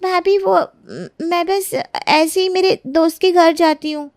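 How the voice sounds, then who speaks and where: A woman as a very high-pitched young sister-in-law. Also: steady tone, slow, no echo, clean studio sound, heavily acted. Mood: nervous, evasive. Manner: defensive, pleading, indignant. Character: defiant young sister-in-law, secretly in love. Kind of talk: Hindi animated moral-story dramatization.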